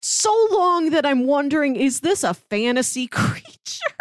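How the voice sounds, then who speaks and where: A woman co-hosting a casual book discussion, telling things in a halting, conversational way with acted-out quotes. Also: sits high, very animated, no room sound. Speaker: podcast co-host.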